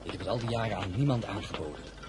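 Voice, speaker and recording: An actor playing a loyal, proper silk apprentice in a radio drama, speaking formally. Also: male voice, lowish voice, expressive, racing, background noise, close to an everyday voice.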